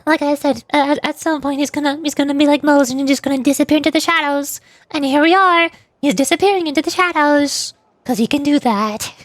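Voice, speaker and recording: High-voiced woman streaming a game. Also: brisk. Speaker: streamer, VTuber. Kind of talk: live game stream, reading dialogue aloud and commenting.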